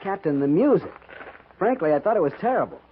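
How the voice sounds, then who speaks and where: An actor playing an earnest, deferential young cavalry lieutenant with a hesitant, stammering manner in a 1950s radio western. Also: male voice, fairly high pitch, big pitch swings, fast talker. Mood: troubled, hesitant. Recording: noisy, narrow 1950s radio recording.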